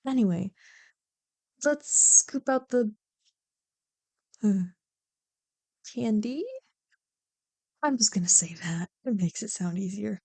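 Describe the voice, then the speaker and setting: A woman playing a playful, teasing young childhood friend who loves Halloween, in an animated, bantering ASMR roleplay. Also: mid-range voice, slow, vocal fry, long pauses. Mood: squeamish. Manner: animated, bantering, mock-exasperated. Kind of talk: ASMR roleplay, one-sided scripted conversation.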